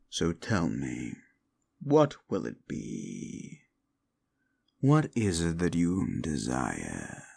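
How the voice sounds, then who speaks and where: A scornful demon, played by a man, mocks the listener in a very deep, gravelly voice. His pitch swings widely as he taunts, and the close, dry recording keeps every rasp near the ear. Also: dry recording, exaggerated voice. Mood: gloating. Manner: slow, mocking and condescending. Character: scornful male demon bound by a wish contract. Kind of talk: audio roleplay.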